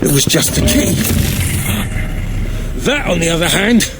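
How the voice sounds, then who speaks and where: An actor playing a stubborn, cocky dwarf sidekick in a video game, with a blunt, informal, cheeky way of talking. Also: male voice, high voice, very animated, a little slow, heavy background noise. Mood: smug, then sheepish. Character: scrappy, cocky, stubborn male dwarf companion. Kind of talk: video game cutscene acting.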